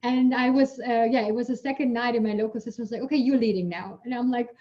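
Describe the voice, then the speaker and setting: Lady scientist, chatty, lots of fillers, warm low-key tone, muffled call sound. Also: fast. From Germany. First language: German. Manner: lively storyteller, chatty with many fillers, laughs while talking. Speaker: guest scientist. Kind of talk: casual Q&A answers.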